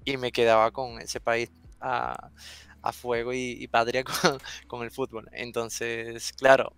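A man's voice: mid-range voice, little pitch movement, slow pace, a bit bright, smooth. A young man from Venezuela whose Spanish carries an Argentine lilt, chatting as a guest on a headset with frequent restarts. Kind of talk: casual interview chat.

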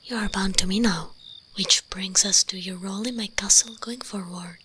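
A woman voicing an imperious, teasing vampire queen in a breathy ASMR roleplay whisper, commanding, condescending and seductive. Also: medium pitch, fairly even intonation, normal speed, background noise, natural voice.

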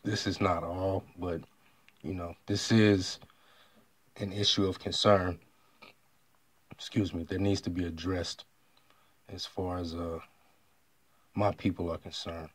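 A man from the United States talking straight to his audience about an online argument. He speaks emphatically and often clears his throat. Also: low voice, little pitch movement, slow pace, pauses often, dry recording. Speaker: vlogger. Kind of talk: monologue retelling an online argument.

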